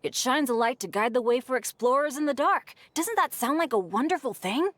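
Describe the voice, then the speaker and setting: A woman's voice: high voice, lively intonation, a little fast. A woman voicing an earnest, upbeat, good-natured teenage adventurer boy in a video game. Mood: friendly, earnest.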